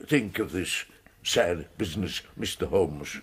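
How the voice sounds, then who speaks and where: An actor playing an elderly, courtly invalid professor in a radio drama, British, wheezy and halting, with ornate phrasing. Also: male voice, sits low, slightly rough, full character voice. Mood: mournful. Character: elderly invalid scholar, courtly, self-pitying, secretly scornful.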